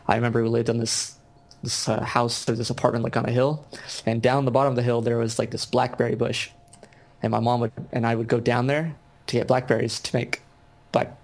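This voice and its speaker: A young American man, a video game designer, chatting casually in an interview with many ums and restarts. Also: medium pitch. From United States.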